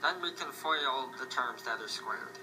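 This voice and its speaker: A young man presents scripted math material in the manner of a student. His pitch is middling, and music plays clearly behind him. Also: measured pace, rough recording. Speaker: student presenter in a puppet show. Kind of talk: scripted math lesson narration. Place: classroom.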